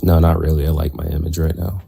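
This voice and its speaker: Deep husky young man, hesitant. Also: little pitch movement, fast, warm tone. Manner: casual slangy, hesitant with many likes. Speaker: debater. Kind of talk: heated online debate.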